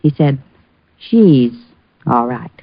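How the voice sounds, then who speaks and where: A teasing, jealous sweetheart in a 1940s radio play. Her arch, coy questions swing widely in pitch. The vintage recording is dry and somewhat dull. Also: animated, slow pace, vocal fry, dry recording, heavily acted.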